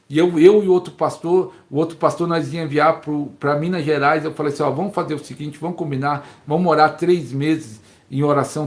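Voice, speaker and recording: A middle-aged Brazilian man preaching as a pastor, fervently telling a story and repeating phrases for emphasis, recorded at home. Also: fairly high pitch. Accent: Brazilian Portuguese. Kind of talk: sermon-style teaching. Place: home, neighbor knocking heard.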